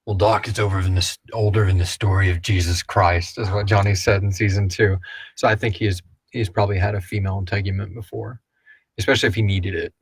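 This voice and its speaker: A man hosting a casual question and answer show, talking like an animated storyteller. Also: fairly low pitch, quick pace, slightly rough, dry recording, low-quality recording. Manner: animated storyteller, acts out quotes, swears casually. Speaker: host of an audio drama Q&A show. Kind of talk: casual fan Q&A chat.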